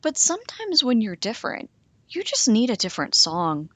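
A woman's voice: a little high, wildly swooping pitch, moderate pace, fairly bright tone, dry recording, exaggerated voice. Woman doing a kindly wise cricket. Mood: gentle.

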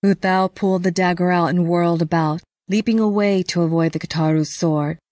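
Woman narrating a dark fantasy audio drama, slightly low, no room echo. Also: fairly even intonation, fairly quick, clean studio sound.

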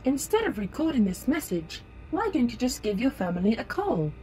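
A woman's voice: fairly high pitch, big pitch swings, a little fast, warm tone. A woman voicing a polite, literal-minded holographic AI assistant in an audio drama, formal and precise. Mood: polite, curious.

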